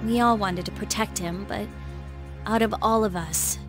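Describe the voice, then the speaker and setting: A woman's voice: mid-range voice, moderate pace, over music, close to an everyday voice. A woman voicing a candid, emotional teenage schoolgirl in a video game, hesitant and confessional, proud but remorseful. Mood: rueful.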